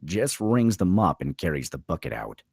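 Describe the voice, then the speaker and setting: A man's voice: deep voice, sing-song, normal speed, vocal fry, a little mellow, breathy edge, dry recording, studio quality. A man narrating screenplay stage directions at a table read, reading scripted scene descriptions.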